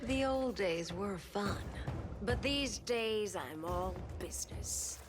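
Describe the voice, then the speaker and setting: A woman's voice: mid-range voice, animated, slow, music in the background. A woman playing a cool, ruthless, seductive villainess in a video game, languid and cold in delivery. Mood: cold, dismissive. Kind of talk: video game cutscene voice acting.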